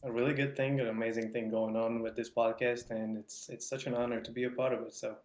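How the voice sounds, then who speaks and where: A young man with a Hungarian accent talks as a guest in a casual interview. His voice sits at a middle pitch with a noticeably roomy echo around it, and he often doubles back on words as he explains things. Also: little pitch movement, fast talker, darkish tone, slight background noise. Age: young adult. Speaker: podcast guest. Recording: roomy, echoing voice.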